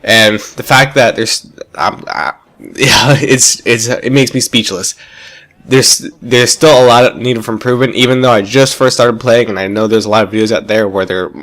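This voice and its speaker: A man's voice: mid-range voice, normal speed, fairly bright tone. A man with a stuffy, congested voice, rambling enthusiastically through casual gameplay commentary with many fillers and restarts. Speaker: gaming YouTuber.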